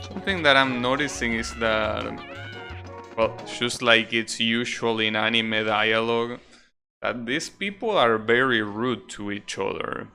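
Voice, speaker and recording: A man's voice: medium pitch, a little slow, bright, forceful tone. A man giving casual live gameplay commentary as a streamer, often repeating words hesitantly and swearing offhandedly. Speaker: video game streamer. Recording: game music behind the voice. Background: video game music.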